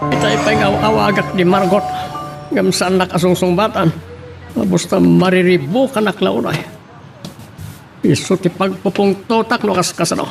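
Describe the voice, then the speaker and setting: A man's voice: high-pitched, fairly even intonation, normal speed, creaky, over loud music. A man with an Ilocano accent playing a worried, impatient man in an amateur audio drama. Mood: worried, frustrated. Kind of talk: amateur Ilocano radio-style drama acting.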